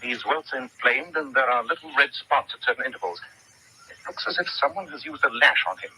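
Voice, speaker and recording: A brisk, commanding English detective in old-time radio style. His delivery is clipped and swoops widely in pitch, with a very sharp, forceful tone. Faint broadcast noise runs underneath. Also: expressive, rough recording. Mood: urgent, grave.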